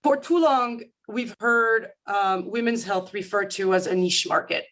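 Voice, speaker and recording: A woman from Paris with a French accent, a guest consultant presenting formally and in a measured, articulate way to an audience. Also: medium pitch, fairly bright tone, poor audio. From France, grew up in Paris. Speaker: guest speaker, management consultant. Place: remote video-call talk.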